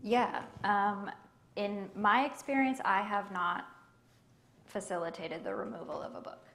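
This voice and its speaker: A woman with a quiet voice, speaking on a panel at a library event in a measured way, with frequent ums. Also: medium pitch, steady tone. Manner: soft-spoken and measured, with many ums. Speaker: library collection manager on a panel. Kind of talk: panel discussion answers.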